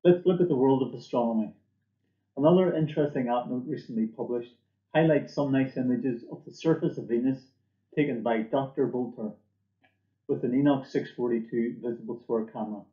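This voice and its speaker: Man presenting in a muffled, echoey room. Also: medium pitch. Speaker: company presenter. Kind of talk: scripted product presentation. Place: small indoor office or lab room.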